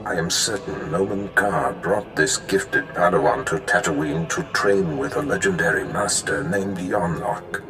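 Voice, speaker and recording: An actor playing a scheming, contemptuous Sith master in a video game, with a formal, grandiose and menacing manner. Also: male voice, low-pitched, a little slow, few pauses. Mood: grave, scheming. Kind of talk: video game cutscene acting. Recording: game music behind the voice.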